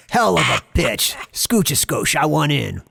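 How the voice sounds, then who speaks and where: An actor playing a cheeky, laid-back goblin gang leader with a gravelly voice and slangy, casual talk. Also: male voice, on the high side, big pitch swings, takes it slowly, over music, exaggerated voice. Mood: amused, casual. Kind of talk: audio drama acting.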